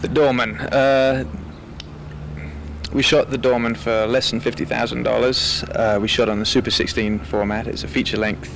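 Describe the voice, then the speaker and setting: A man talking as a film director in a relaxed, wordy, articulate interview. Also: medium pitch, moderate pace, gravelly, noisy background.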